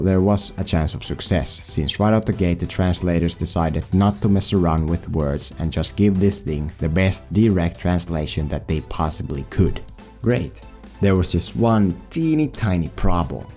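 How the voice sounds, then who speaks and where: A man's voice: low voice, warm tone. A man who is not a native English speaker, giving sarcastic, mock-dramatic scripted commentary as a film video host. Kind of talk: scripted comedic voice-over commentary.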